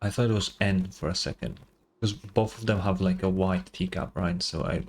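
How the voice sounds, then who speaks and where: A man's voice: low voice, moderate pace, husky. Casual male game streamer thinking aloud. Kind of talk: casual reaction commentary.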